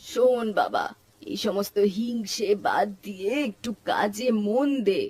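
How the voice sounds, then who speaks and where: A woman's voice: medium pitch, lively intonation, a little slow, a little mellow, close to an everyday voice. A woman playing a worried middle-aged mother in a cartoon, reproaching and pleading with her grown son. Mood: reproachful. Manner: nagging, pleading. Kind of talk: Bengali moral-story cartoon voice acting. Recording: plucked string music behind.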